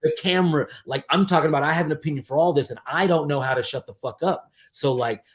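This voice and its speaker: A man in his forties talking casually as a podcast guest, emphatic, with halting false starts and some swearing. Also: a little high. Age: 40s. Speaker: podcast guest, DJ and aspiring filmmaker. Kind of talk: casual interview chat.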